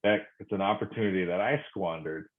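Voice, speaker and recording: A middle-aged man from Korea reflecting in conversation, speaking thoughtfully with many pauses and restarts. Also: sits low. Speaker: podcast guest. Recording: phone-like narrow sound.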